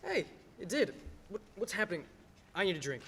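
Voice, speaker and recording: A young performer playing a troubled, wry, skeptical family man in a radio play, halting and incredulous. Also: medium pitch, very animated, fairly bright tone, slightly rough, heavily acted. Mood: bewildered. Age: teens to young adult. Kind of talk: stage radio play acting.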